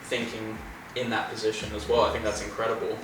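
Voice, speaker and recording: A man's voice: on the low side, monotone, fast talker, background noise. A man hosting an interview podcast, chatting casually and enthusiastically, often calling things incredible. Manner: enthusiastic, keeps saying "incredible". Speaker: podcast host. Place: room in a home. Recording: very echoey room, mic at a distance.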